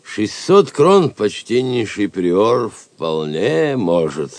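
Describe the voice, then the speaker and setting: A man's voice: fairly low pitch, very animated, takes it slowly, a little mellow, no room sound, exaggerated voice. An actor playing a ruined, pleading merchant and father, obsequious and lamenting, in an audio drama. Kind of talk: Russian audio drama acting.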